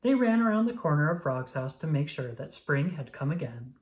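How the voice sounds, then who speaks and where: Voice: medium pitch, big pitch swings, normal speed. A narrator reading a children's storybook aloud in a scripted storytelling style.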